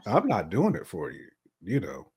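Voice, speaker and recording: A man in his forties with a fairly low voice talks casually as a show co-host. He gives his opinions in colloquial language, often doubling back on his words as he works out a point. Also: wildly swooping pitch, normal speed, darkish tone, husky. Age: 40s.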